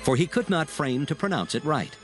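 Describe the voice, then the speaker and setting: A man narrating dramatized scripture in a solemn, formal, measured storytelling manner. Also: fairly high pitch, very animated, faint music behind.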